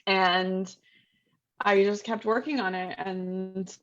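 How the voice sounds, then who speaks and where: A woman's voice: medium pitch, fairly even intonation, slow pace, dull audio. A woman author reflecting in an easygoing, self-mocking way over a video call. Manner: reflective, easygoing, laughs at herself. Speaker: author guest. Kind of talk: casual video chat.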